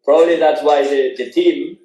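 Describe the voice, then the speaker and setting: A man with a Spanish accent hosts a casual chat in English as a non-native speaker, haltingly and often repeating his phrases. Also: on the high side, fairly even intonation, warm tone. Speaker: podcast host interviewing an athlete. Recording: roomy, echoing sound.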